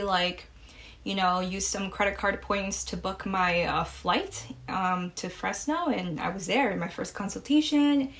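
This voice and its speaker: A woman in her late twenties hosts a solo podcast, talking casually with lots of "um" and "like" fillers. Her first language is Spanish, and a light Mexican accent colors her English. Her voice sits at a middling pitch. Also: a bit bright. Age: 20s. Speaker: podcast host.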